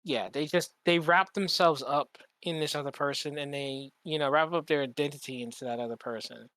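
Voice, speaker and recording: A man's voice: fairly high pitch, a little fast, a bit bright, dry recording. A man talking casually as a podcast co-host, rambling and candid, swearing freely. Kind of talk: casual chat.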